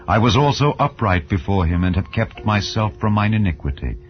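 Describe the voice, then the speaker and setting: A man with a low, deep voice reads scripture as an audiobook narrator. His delivery is solemn, measured and declamatory, shaped for archaic English. The recording is slightly dull and lo-fi, with soft music playing underneath. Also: lively intonation. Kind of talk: solemn dramatized scripture reading. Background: background music.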